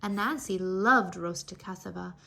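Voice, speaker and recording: A woman reading a children's story aloud with expressive, dramatic storytelling. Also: mid-range voice, a little slow, creaky. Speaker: children's read-aloud narrator.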